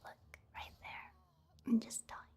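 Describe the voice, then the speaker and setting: A woman giving soft-spoken ASMR commentary on a video game in a breathy, whispery voice, hushed and halting. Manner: hushed, unhurried, halting delivery. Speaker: gaming ASMR creator.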